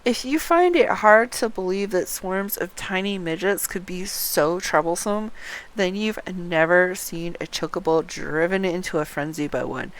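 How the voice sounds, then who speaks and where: A woman reading aloud the dialogue of a friendly, chatty quest giver in a fantasy game, deliberately paced. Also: fairly low pitch, fairly bright tone, clear, resonant tone, heavily acted, low-quality recording.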